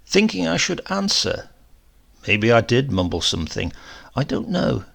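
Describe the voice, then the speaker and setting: Creaky, haunted male actor as crash survivor. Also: mid-range voice, fairly bright tone, exaggerated voice. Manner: halting, conversational, brooding with sudden outbursts. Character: shaken, rambling male crash survivor narrating horror. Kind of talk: dramatic first-person horror story reading.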